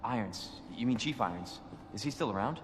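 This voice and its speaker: A man's voice: medium pitch, lively intonation, a little fast, noisy background, natural voice. A man playing an earnest, questioning young rookie police officer in a video game. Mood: calm, guarded. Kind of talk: video game cutscene acting.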